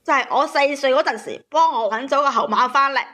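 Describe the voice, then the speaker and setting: High-voiced, plaintive woman caller. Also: fast talker, bright, forceful tone. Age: 30s. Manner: plaintive, aggrieved. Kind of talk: personal storytelling on a call-in.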